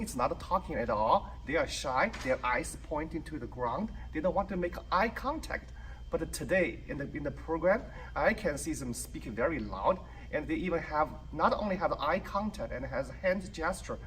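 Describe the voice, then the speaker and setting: Man, parent, testimonial, slightly high voice, faint noise. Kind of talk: informal testimonial speech. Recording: distant mic, faint background noise.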